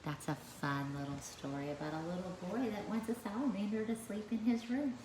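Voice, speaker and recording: Expressive woman reading aloud in echoey room. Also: mid-range voice, brisk. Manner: expressive, child-directed. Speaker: pre-K teacher. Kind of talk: read-aloud storytelling for young children. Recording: echoey room, faint background noise.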